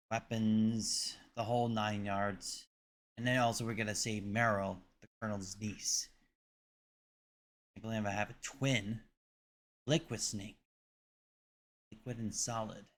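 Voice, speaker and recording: A man's voice: fairly low pitch, fairly bright tone. A man hosting a reaction video, talking casually and musing informally about what he watched.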